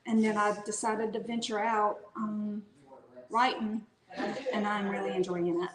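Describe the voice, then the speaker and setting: A woman's voice: mid-range voice, unhurried, a crowd in the background. A woman from North Carolina with a Southern accent, an author chatting casually as an interview guest. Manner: relaxed, chatty, with fillers and restarts. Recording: echoey room.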